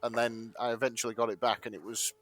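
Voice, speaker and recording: A man's voice: medium pitch, level tone, quick pace, fairly bright tone. A man chatting casually as a podcast co-host. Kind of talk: casual chat.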